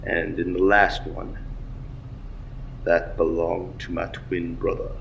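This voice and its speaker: A man playing a solemn superhero father in a recorded message, measured and instructive. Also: sits low, little pitch movement, vocal fry, a bit bright, full character voice. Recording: dull, noisy video playback.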